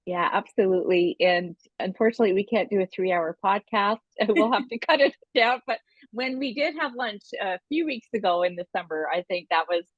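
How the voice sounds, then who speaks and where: A woman's voice: mid-range voice, a little fast, no echo, dull audio. A woman co-hosting a podcast, introducing a guest in a conversational interview style.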